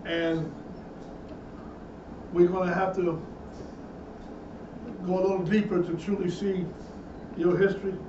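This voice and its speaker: A man's voice: high-pitched, level tone, unhurried, long pauses. A man preaching a Bible teaching sermon as a minister, emphatic and repetitive, building in intensity. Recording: echoey, distant, dull room sound with noise.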